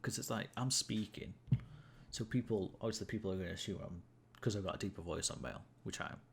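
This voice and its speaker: Young male streamer, low gravelly voice, easygoing rambling chat, faint hiss on the mic. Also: fast talker. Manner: rambling, self-amused, many fillers and restarts. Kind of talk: casual gameplay chat to viewers.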